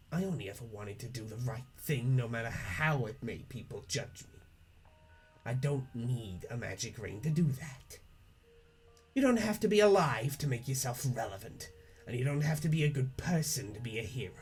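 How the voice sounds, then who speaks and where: A man's voice: mid-range voice, expressive, moderate pace, soft background music, close to an everyday voice. A man playing a defiant young woman in a theatrical, earnest, foul-mouthed monologue. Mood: resolute. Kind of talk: dramatic reading of a webcomic script.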